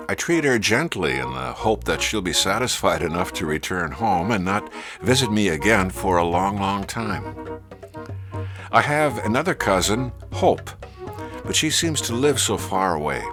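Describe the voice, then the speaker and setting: Low-voiced man with animated, wry narration. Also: normal speed. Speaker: author and priest reading own essay. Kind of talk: humorous reflective scripted narration. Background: music.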